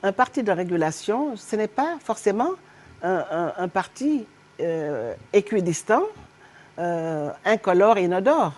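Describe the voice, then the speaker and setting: A middle-aged woman from Senegal, a politician answering questions as a guest in a TV studio interview, animated and emphatic, with frequent hesitations. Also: medium pitch, a little slow. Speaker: guest politician, party honorary president.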